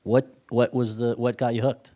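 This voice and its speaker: A man's voice: medium pitch, flat delivery, moderate pace, warm tone. A man interviewing a guest, asking questions in an upbeat, encouraging way.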